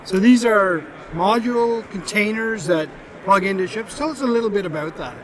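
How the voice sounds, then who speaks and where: A man hosting a podcast, speaking in a halting way with frequent fillers. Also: high-pitched, fairly bright tone. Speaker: podcast host. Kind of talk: casual podcast interview.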